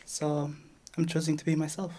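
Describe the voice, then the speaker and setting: A man telling an interviewer why he had to flee, in a halting way that restarts sentences often. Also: fairly high pitch, completely flat delivery, warm tone, a little hiss or hum. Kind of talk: personal testimony.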